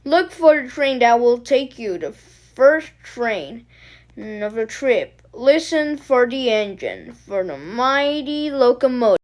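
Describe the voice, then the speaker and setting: Slow, high-voiced woman reading a storybook. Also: lively intonation. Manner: deliberate, emphatic phrasing with pauses between short lines. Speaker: storybook reader. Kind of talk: expressive picture-book read-aloud.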